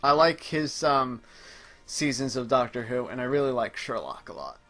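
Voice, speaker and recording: A man's voice: medium pitch, animated, normal speed, fairly bright tone, soft background music. A man casually chatting and commenting as he plays a video game, with dry, joking sarcasm. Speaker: host playing a video game on a gaming show. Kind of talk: casual chat and gameplay commentary.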